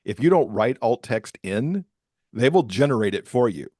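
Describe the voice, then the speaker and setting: A man's voice: medium pitch, big pitch swings, moderate pace, warm tone, dry recording, clean studio sound. A man chatting casually as a podcast guest, talkative and easy to laugh. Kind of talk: casual conversational interview.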